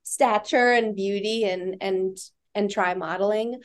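A woman's voice: medium pitch, steady tone, measured pace. Woman host asking hesitant questions. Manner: hesitant, filler-heavy questions. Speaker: podcast host. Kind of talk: casual interview questions.

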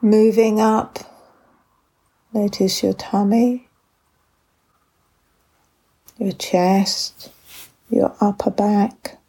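A woman leading a guided body scan meditation with gentle, unhurried instructions and long pauses, in a soft, breathy voice. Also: medium pitch, level tone.

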